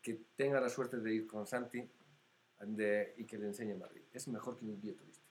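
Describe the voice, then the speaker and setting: Man, measured and deliberate. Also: lowish voice, steady tone, a little hiss or hum. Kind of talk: casual chat.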